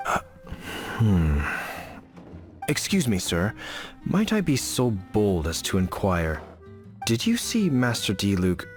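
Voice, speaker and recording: A man's voice: on the low side, wildly swooping pitch, slow, pauses often, soft background music, exaggerated voice. A man voicing an earnest, fussy knight in a video game, hesitant and formal. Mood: awkward. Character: earnest, fussy middle-aged male knight.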